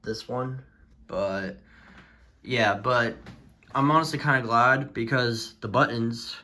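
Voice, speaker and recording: Bright-voiced man, casual and rambling. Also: medium pitch, slow pace. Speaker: collector presenting a video. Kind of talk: casual show-and-tell vlog.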